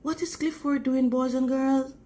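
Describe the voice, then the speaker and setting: A woman reading a picture book aloud to children in a teacherly way, pausing to prompt them with questions. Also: sits high, steady tone, warm tone. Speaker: read-aloud host.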